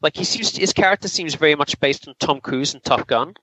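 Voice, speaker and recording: A man's voice: a little high, brisk, pushed and bright, dry recording, low-quality recording. A man co-hosting a podcast, chatting casually and explaining film trivia in detail. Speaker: podcast co-host. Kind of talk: casual film review chat.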